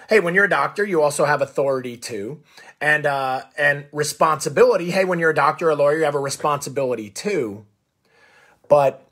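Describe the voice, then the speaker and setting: A man in his forties with a raspy, husky voice, hoarse from a full day of coaching. He talks into a close phone mic in a small room, fired up and animated. His voice sits a touch high for a man. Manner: fired-up, animated, gestures a lot. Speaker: coach and show host. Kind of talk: energetic Facebook Live monologue. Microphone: phone close to the face.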